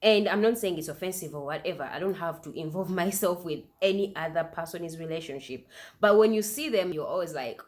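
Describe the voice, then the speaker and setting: A woman's voice: fairly low pitch, a little fast, darkish tone. A woman hosting a reaction video, giving opinionated commentary and firing off incredulous rhetorical questions. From Uganda.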